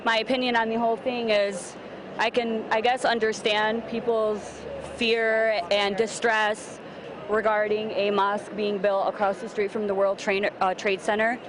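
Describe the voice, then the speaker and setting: A young woman from Lebanon, a passer-by at an outdoor street rally, giving an earnest, indignant but measured answer. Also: mid-range voice, flat delivery. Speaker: passer-by being interviewed. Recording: crowd noise of an outdoor rally.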